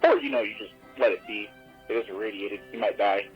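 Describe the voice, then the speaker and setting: A man playing a rambling call-in listener in a comedy audio drama, hesitant and deadpan with a dark intensity, on a lo-fi voicemail. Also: on the low side, fairly quick. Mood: darkly intense. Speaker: caller. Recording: dull lo-fi radio voicemail, faint music.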